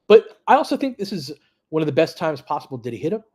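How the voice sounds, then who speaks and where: A man's voice: on the high side, big pitch swings, fast, darkish tone. A man hosting a basketball live stream, mixing casual play-by-play with conversational, opinionated, sweary fan commentary. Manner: partisan fan reacting to plays and chat. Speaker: former high school basketball coach.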